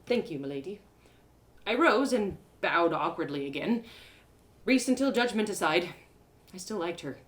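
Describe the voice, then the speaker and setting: A woman reading a first-person fantasy story as an expressive, descriptive storyteller. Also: medium pitch, normal speed.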